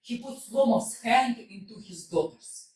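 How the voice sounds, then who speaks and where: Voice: mid-range voice, lively intonation, unhurried, darkish tone. A narrator reading the stage directions aloud in a plain, matter-of-fact way. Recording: echoey hall from far off.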